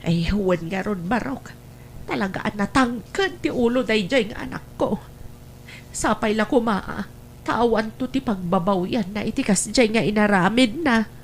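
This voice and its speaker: A woman's voice: medium pitch, expressive, normal speed, a little hiss or hum, natural voice. A woman playing a worried, lamenting older provincial mother in a family radio drama. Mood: resigned, worried. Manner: anxious, lamenting.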